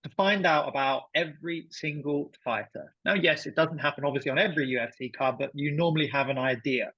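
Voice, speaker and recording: Male podcast guest, slightly high husky voice, emphatic casual sports chat, phrases often repeated. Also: brisk, fairly bright tone, no room sound, muffled recording.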